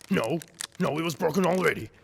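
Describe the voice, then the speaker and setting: A man acting a shifty, menacing neighbour with a guilty secret in an old-time radio drama, halting and evasive. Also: on the high side, lively intonation, vocal fry, slight background noise. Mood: nervous, coaxing.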